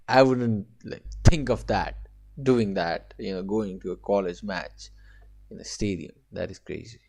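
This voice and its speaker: A man's voice: lowish voice, darkish tone. A man from Nepal reacting casually to a video, exclaiming and asking curious questions.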